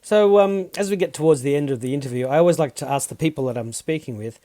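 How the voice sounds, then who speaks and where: A man's voice: a little high, quick pace, warm tone. A man hosting an interview over a video call, giving polite, brief prompts. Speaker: interviewer, host. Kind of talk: interview questions.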